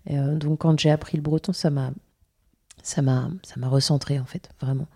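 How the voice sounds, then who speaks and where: Young woman, singer from Brittany; low, soft, warm tone; halting, searching speech. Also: steady tone, breathy edge. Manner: hesitant, many fillers and restarts. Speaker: singer being interviewed. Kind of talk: casual interview answers.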